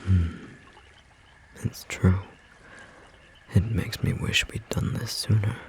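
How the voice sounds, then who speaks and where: A man playing a gentle, romantic storm sorcerer in intimate ASMR roleplay, speaking tenderly in a soft, breathy, slightly rough voice. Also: low voice, fairly even intonation. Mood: admiring, tender. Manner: soft, slow, tender murmuring with pauses.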